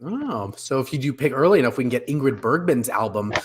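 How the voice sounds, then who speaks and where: A middle-aged man hosting a casual live show from his living room on a video call, jokey and a little halting. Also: mid-range voice, big pitch swings, background noise. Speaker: host. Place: living room at home, indoors.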